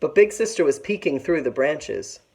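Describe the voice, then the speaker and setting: A woman teacher reading a children's story aloud in an expressive narrating style. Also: low voice, normal speed.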